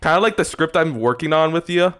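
A man in his twenties hosting a reaction stream from a home room on a desk mic, rambling excitably with lots of filler. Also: a little high, a little fast. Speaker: streamer host. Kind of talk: casual reaction chat. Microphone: desk mic with headset.

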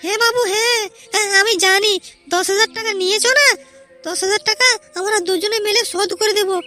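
Woman doing a doting young wife, very high, bright and quick, sweet, faint music. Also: steady tone. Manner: sweet and affectionate.

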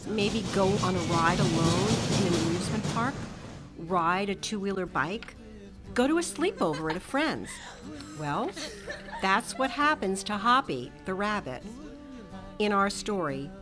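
A woman reading a storybook aloud to children in a gentle, expressive way. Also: medium pitch. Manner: gentle, expressive, slow and lively. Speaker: storybook reader.

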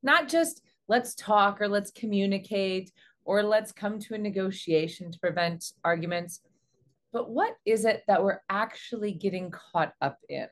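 A woman in her forties speaking as a relationship coach, explaining things to camera in an earnest, measured way. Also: mid-range voice. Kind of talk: explanatory advice talk to camera. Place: small indoor room.

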